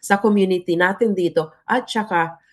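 A woman's voice: medium pitch, moderate pace. A woman hosting a radio interview, switching between English and Filipino. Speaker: radio host.

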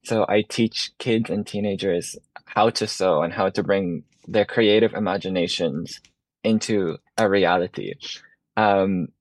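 A man's voice: deep voice, a little slow, no room sound, poor audio. A young man from Ireland, a fashion design student, speaking earnestly as a radio interview guest.

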